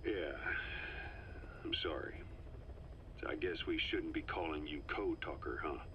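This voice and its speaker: An actor playing a calm, terse male interviewer in a video game, asking probing questions in a low-key manner on a taped conversation. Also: measured pace. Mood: subdued, apologetic. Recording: muffled noisy cassette tape sound.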